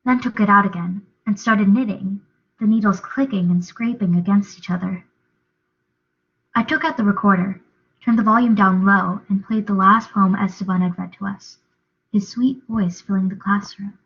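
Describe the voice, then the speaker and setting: A woman's voice: medium pitch, fairly even intonation, moderate pace, fairly bright tone. A young adult woman giving a dramatic reading of a novel as narrator. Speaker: reader, drama student. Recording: dull lo-fi recording.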